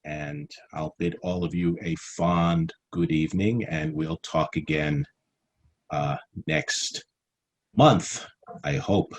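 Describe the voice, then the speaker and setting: A middle-aged man with a very low voice hosts an informal meeting. He is on a video call, with slight noise on the line. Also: slow. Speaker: meeting host. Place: small room at home, indoors. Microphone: headset on a video call.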